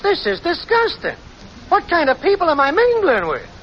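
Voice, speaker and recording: An actor playing a brash, slangy small-time schemer boyfriend with an American accent in a 1940s radio comedy. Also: male voice, very high-pitched, huge pitch swings, normal speed, a bit bright, full character voice. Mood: breezy, confident. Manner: slangy, brash, smooth-talking. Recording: muffled, noisy vintage 1940s radio broadcast.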